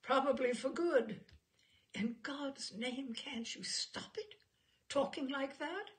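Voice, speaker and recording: A woman's voice: high voice, slow pace, voice pushed up. A woman voicing a blunt, exasperated wife who scolds her pontificating husband. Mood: exasperated scolding.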